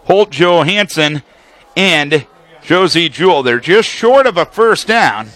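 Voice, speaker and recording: A man's voice: on the high side, lively intonation, slow pace, fairly bright tone. An American man calling live football play-by-play for radio, describing formations and action in a detailed running commentary.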